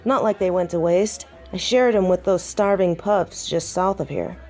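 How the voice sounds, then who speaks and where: A woman's voice: lowish voice, normal speed, darkish tone, faint music behind, a faint crowd, close to an everyday voice. A woman playing a proud, prickly, tipsy werewolf second-in-command in an audio roleplay drama, with a dry, sarcastic drawl. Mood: exasperated.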